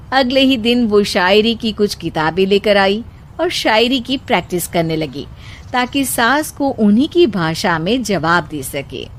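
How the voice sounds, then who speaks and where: A woman's voice: medium pitch, slight background noise. A woman reading scripted narration for a comic moral story as its storyteller.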